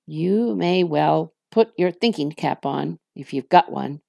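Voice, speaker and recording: A woman's voice: deep voice, lively intonation, slow pace, vocal fry, mellow, dry recording, clean studio sound, exaggerated voice. A woman voicing a sly, gloomy male orc captain from a fantasy storybook, needling and mocking as she speaks. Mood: sardonic warning. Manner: sardonic, needling.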